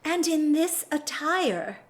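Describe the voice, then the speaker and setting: A woman acting a proper, hesitant aristocratic lady in an audio drama, genteel, formal and gently reproving. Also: sits high, lively intonation, takes it slowly. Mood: reproving, surprised.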